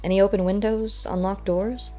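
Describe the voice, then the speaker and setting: A woman's voice: medium pitch, little pitch movement, normal speed, warm tone, faint music behind, close to an everyday voice. A woman voicing a practical male animal investigator, matter-of-fact and probing, in an audiobook story. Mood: level-headed. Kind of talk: audiobook character voice.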